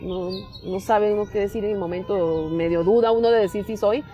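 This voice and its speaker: Fast-talking Venezuelan woman. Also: mid-range voice, lo-fi sound, faint music behind. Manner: animated, acts out quoted dialogue. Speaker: interviewee. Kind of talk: personal testimony.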